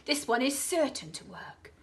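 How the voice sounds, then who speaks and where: A woman voicing a greedy, scheming male crocodile who talks slowly and gloats. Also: sits high, sing-song, a bit bright, voice pushed up. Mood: gloating.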